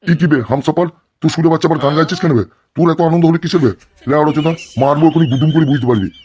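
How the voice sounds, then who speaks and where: A man's voice: fairly high pitch, steady tone, rapid-fire, a little mellow, slight background noise, lightly acted. A man voicing a crude, bullying, lecherous gangster politician in a comic cartoon, blustering and scolding. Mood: threatening. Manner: blustering, scolding, constantly swearing.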